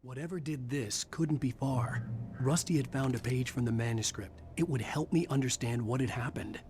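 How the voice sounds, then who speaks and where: A man narrating a horror video game in a measured, brooding voice as the writer lead. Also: medium pitch, a little mellow, slight background noise.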